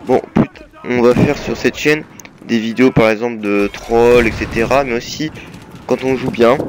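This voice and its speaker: A man's voice: mid-range voice, steady tone, normal speed, background noise. A young man with a slight cold, giving a hesitant, filler-heavy voice-over that presents his gaming team. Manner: hesitant, many fillers and restarts. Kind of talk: casual voice-over over gameplay.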